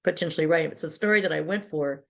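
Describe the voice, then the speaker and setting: Low, gravelly-voiced woman telling stories. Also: very fast. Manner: chatty, many restarts and asides. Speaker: interview guest, PR agency founder. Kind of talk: casual interview storytelling.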